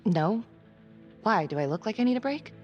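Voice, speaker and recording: An actress playing a weary, hard-bitten police officer in a crime drama game, dry, clipped and blunt, with a gravelly edge. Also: female voice, medium pitch, big pitch swings, normal speed, a little mellow, dull audio, natural voice. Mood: tense, tired. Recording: music behind the voice.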